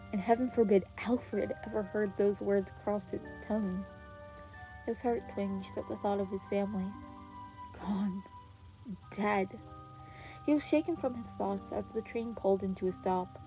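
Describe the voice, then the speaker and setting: A woman reading scripted fiction aloud as an expressive podfic narrator. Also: medium pitch, low-quality recording. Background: background music.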